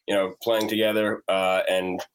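A laid-back young man from North Dakota chimes in during an interview. His voice sits fairly low, and he talks loosely, with plenty of hesitations. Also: little pitch movement, moderate pace, clean tone, low-quality recording. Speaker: band member guest. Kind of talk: casual interview answers.

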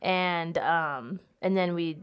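A woman's voice: lowish voice, slow pace, smooth. An American woman from South Carolina and Virginia talking candidly and casually as an interview guest, peppering her speech with "like". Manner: candid, chatty, frequent "like" filler. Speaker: podcast guest, stand-up comedian.

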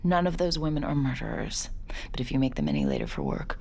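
A woman's voice: a bass voice, huge pitch swings, quick pace, gravelly, darkish tone, a little hiss or hum, exaggerated voice. An actress playing a wry, jaded young woman who reads minds and narrates her own story with dry sarcasm. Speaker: narrator and lead. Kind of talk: audio drama acting.

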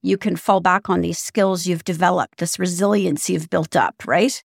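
A woman's voice: lowish voice, normal speed, vocal fry, no room sound, studio quality. A woman hosting a podcast interview, friendly and reflective, sharing personal stories. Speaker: podcast host.

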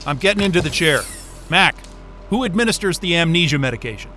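An actor playing an excitable, sarcastic, foul-mouthed astrophysicist in a naturalistic audio drama. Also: male voice, a little high, fast, faint music behind. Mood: determined.

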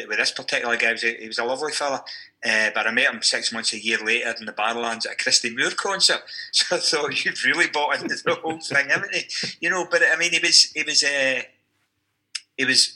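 A man's voice: mid-range voice, sharp tone, rough recording. A man, an academic, talking at length in a podcast discussion, with many restarts and asides. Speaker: university academic discussing football history.

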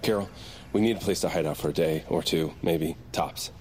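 An actor playing an exasperated, defensive crook on the run in an audio drama, hedging and easily flustered. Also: male voice, sits low, fairly quick, a little mellow. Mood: placating.

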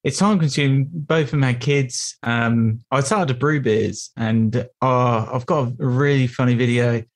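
A man's voice: medium pitch, measured pace. A man chatting as a podcast guest, friendly and eager, self-deprecating about his hobbies. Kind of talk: casual podcast chat.

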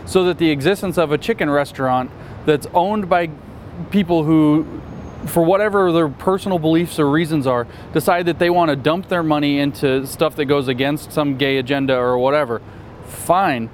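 Sardonic, rapid-fire male panel guest. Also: fairly high pitch, slight background noise. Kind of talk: casual roundtable discussion. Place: seated at a table in a city.

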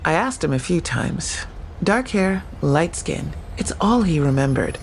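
A persistent, plain-spoken police investigator in an audio drama. Her voice is fairly low with a heavy creak, matter-of-fact and inquisitive, over faint background noise. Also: very animated, a little slow, exaggerated voice, poor audio.

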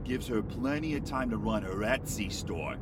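Actor doing a folksy rambling rideshare guy, griping, really lively pitch, car noise, audio drama. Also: normal speed, natural voice. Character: chatty, oblivious, griping male rideshare driver. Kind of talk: modern audio drama acting.

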